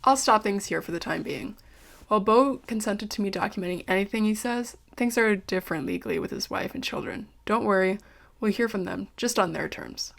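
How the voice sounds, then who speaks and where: A woman's voice: mid-range voice, brisk, gravelly, a bit bright. Woman playing polite, wry American reporter. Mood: wry, confiding. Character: polite, earnest young female investigative reporter. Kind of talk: audio drama acting, narrated recorder diary.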